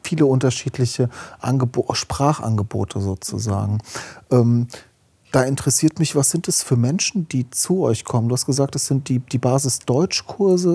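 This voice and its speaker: A man hosting a regional business podcast, asking questions in a relaxed, conversational way. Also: mid-range voice, vocal fry, breathy edge, no echo. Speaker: podcast host.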